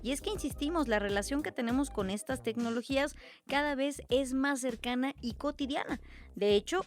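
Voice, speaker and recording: A woman with a Mexican accent anchoring a radio newscast in a clear, measured way. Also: high voice. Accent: Mexican Spanish. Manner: clear, measured presenter delivery. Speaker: news anchor. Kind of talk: radio news presenting.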